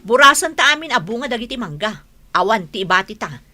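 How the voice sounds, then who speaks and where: A woman's voice: a little high, very animated, fast talker, bright, forceful tone, faint music behind, full character voice. A woman playing an emphatic, melodramatic wife in a radio drama, with an Ilocano accent. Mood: bossy, scheming. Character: bossy, greedy wife who turns frantic, then remorseful.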